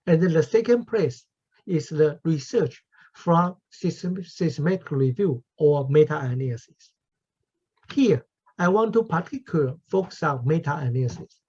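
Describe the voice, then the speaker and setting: A man's voice: a little high, darkish tone. Taiwanese-accented male professor presenting. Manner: careful, deliberate, with non-native grammar slips. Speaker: invited conference speaker, professor. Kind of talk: formal academic presentation. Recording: muffled, husky lo-fi audio.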